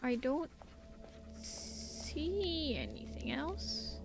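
A woman commentates casually on a game she plays. Her high voice swings widely in pitch, and she speaks slowly, thinking aloud in a playful way. Music runs behind her. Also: huge pitch swings. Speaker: gaming video host playing a horror game.

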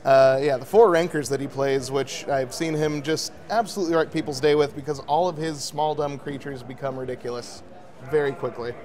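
A man's voice: medium pitch, fairly even intonation, brisk, crowd noise. A man giving casual color commentary on a card tournament, his voice strained and scratchy, breaking down matchups analytically. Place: card shop event.

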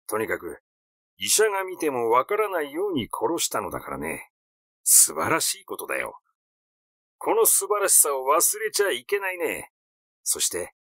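Man playing a flippant, rough-talking amateur sleuth. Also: on the high side, a bit bright, no room sound, studio quality. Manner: rough colloquial, gleefully boastful.

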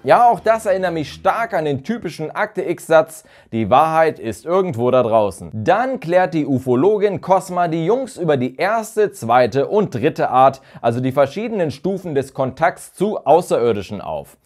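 A man in his thirties presents a review from a room at home. His voice sits a little high and moves with lively melody, as he explains and gives his opinions in a semi-scripted commentary. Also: normal speed, few pauses, soft background music. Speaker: video reviewer, channel host. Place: small furnished room at home, indoors. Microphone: lapel mic.

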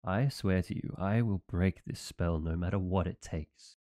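A man voicing a loyal, dim-witted young knight in an audio roleplay, earnest and naive, over soft music. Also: low-pitched, a little slow. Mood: outraged. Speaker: voice actor.

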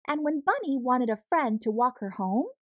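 A woman reading a children's picture book aloud in an expressive, deliberate way, pausing between phrases. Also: high-pitched, no room sound, muffled recording. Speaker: storybook reader.

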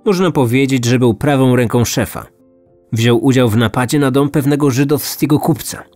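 A man hosts a true crime podcast in a scripted storytelling style. His voice sits at a middle pitch, with music playing underneath. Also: moderate pace, darkish tone. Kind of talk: scripted narration.

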